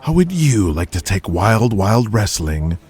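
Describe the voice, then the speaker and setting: A man's voice: on the low side, very animated, a little slow, darkish tone, husky, exaggerated voice. A man voicing a proud, stubborn, sardonic wrestling boss in an audio drama, with a haughty, dry delivery. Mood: smooth, proud.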